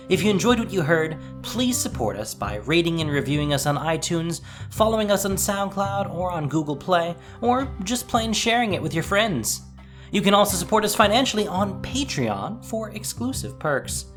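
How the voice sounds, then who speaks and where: Audio fiction narrator: mid-pitched, animated intonation, expressive scripted read over a light music bed.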